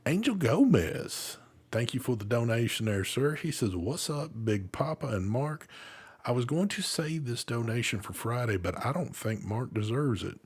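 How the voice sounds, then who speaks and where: A man's voice: medium pitch, animated, moderate pace, slightly rough. A man hosting a live vape show in casual chat, laid-back and swearing freely.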